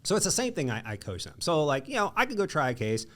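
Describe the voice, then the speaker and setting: A man hosting a casual podcast chat as a lawyer, with a bright, nasal voice and an animated way of acting out what people say. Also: on the high side, quick pace. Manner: animated, acts out quoted voices.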